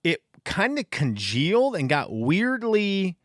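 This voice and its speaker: A man's voice: sits high, wildly swooping pitch, takes it slowly, dry recording, studio quality. A man in his thirties from Venezuela, hosting a casual solo podcast and telling rambling, self-deprecating stories. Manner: rambling storyteller, self-deprecating, frequent restarts. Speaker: podcast host.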